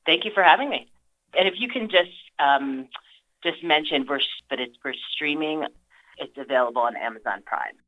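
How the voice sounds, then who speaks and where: A woman's voice: low-pitched, animated, normal speed. A woman talking casually as an interview guest calling in to a radio show. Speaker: interview guest, film director. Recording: dull lo-fi call-in line.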